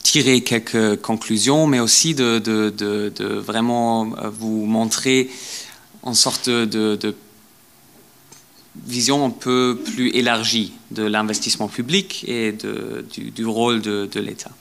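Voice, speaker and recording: A man giving an academic lecture in a language that is not his first, halting often with repeated words and fillers. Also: fairly low pitch, fairly even intonation, creaky, a bit bright, a little hiss or hum. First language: not French. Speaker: guest lecturer.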